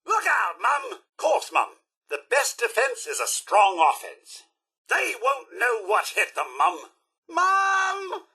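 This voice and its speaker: Fussy british robot butler for a game, super animated n bright, bit high, very prim and polite. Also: male voice, takes it slowly, breathy edge, full character voice. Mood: rousing, alarmed. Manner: formal, servile, effusively polite. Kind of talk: video game character voice acting.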